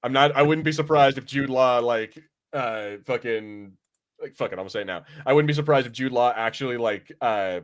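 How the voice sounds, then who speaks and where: A man's voice: medium pitch, brisk. Rambling man chatting on a podcast. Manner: rambling, acts out imagined lines. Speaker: podcast host. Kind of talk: casual review chat.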